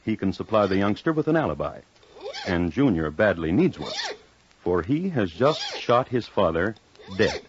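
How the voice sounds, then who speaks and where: A man playing a wry, urbane crime-story host, narrating with droll, deliberate precision in old-time radio style. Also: on the low side, wildly swooping pitch, noisy background. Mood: wry, measured. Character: wry, urbane connoisseur of crime telling murder tales. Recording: dull old radio recording. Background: music.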